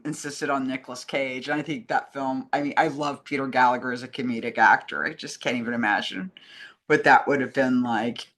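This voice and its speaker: A woman's voice: rumbling low, pushed and bright. A woman co-hosting a casual film chat, speaking in an opinionated, digressive way. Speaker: co-host.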